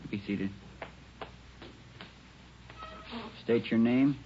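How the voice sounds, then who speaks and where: An American man playing a dispassionate coroner in a 1940s radio drama, putting formal, measured questions to witnesses. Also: medium pitch, natural voice. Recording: muffled, noisy 1940s radio recording.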